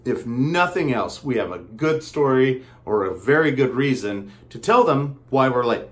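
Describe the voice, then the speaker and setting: A man telling a personal story casually, in a rambling, halting way with frequent asides. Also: mid-range voice, lively intonation.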